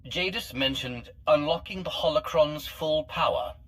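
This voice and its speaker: A man's voice: on the high side, moderate pace, a bit bright, lightly acted. A man playing a serious sorcerer hero in a video game, asking direct, probing questions. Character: male Force-sorcerer hero questioning an ancient artificial mind. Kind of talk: scripted video game voice acting.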